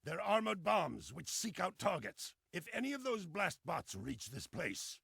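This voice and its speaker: An actor playing a bossy, pompous old man who leads an underground resistance in a video game, with a raspy voice and a grumbling, commanding manner. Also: high voice, big pitch swings, measured pace, sharp tone, dry recording, clean studio sound, exaggerated voice. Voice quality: raspy, creaky. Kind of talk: animated video game cutscene acting.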